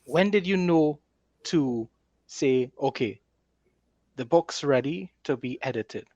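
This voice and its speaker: A man's voice: mid-range voice, lively intonation, slow pace, darkish tone, dry recording. A man hosting a casual conversation as an author, explaining things with enthusiasm. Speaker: host, author. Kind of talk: casual conversational talk about writing.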